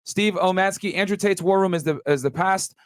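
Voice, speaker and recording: Fast, high-pitched man hosting a podcast. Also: no room sound, clean studio sound. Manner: slangy, bro-heavy shout-outs. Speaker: podcast host. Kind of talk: casual reading of listener donation messages and thanks.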